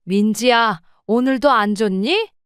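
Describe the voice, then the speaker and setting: A woman's voice: fairly high pitch, measured pace, clean tone, dry recording, clean studio sound, close to an everyday voice. A woman voicing a stern, proper mother in her seventies who scolds firmly in an audio drama. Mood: wary. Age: older. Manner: firm, reproachful scolding.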